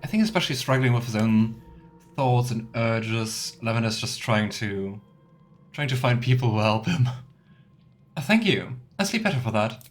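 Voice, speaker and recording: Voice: mid-range voice, lively intonation, normal speed, faint music behind. A let's player giving casual, musing commentary on a game and reading dialogue options aloud. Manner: musing, chatty asides.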